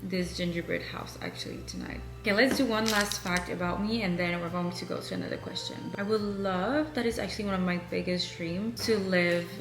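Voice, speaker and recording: A woman's voice: fairly low pitch, fairly even intonation, a little fast, few pauses, reverberant, over music. A woman in her twenties, a native French speaker from Canada, chatting casually as a vlogger answering viewer questions. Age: 20s. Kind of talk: casual chat while decorating.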